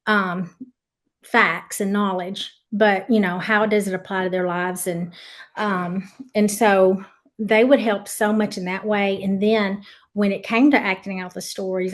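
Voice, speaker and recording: A woman's voice: medium pitch, flat delivery, measured pace. A woman from Tennessee, a former camp leader, reminiscing as a podcast guest in an earnest, rambling way with frequent ums and restarts. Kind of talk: reminiscing interview.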